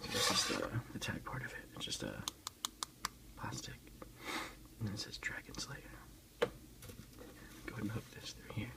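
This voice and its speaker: A man with a very low voice speaks in a soft, breathy near-whisper full of gravelly fry. He chats casually through an ASMR unboxing in an unhurried, low-key way, recorded on a phone. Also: huge pitch swings. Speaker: ASMR video host.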